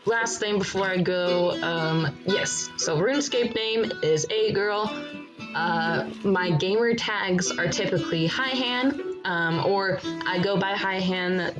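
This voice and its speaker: A woman streaming a game in a relaxed, rambling chat, with a froggy morning voice and frequent laughter. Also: medium pitch, takes it slowly, low-quality recording. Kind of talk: casual live-stream chat while gaming. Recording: loud background music over the voice.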